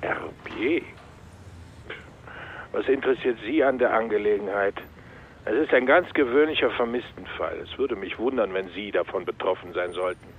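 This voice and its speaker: An actor playing a curt, guarded gendarmerie captain, formal and dismissive, heard through a telephone filter in a radio crime drama. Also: male voice, lowish voice, expressive, normal speed, a little hiss or hum. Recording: thin, narrow telephone line.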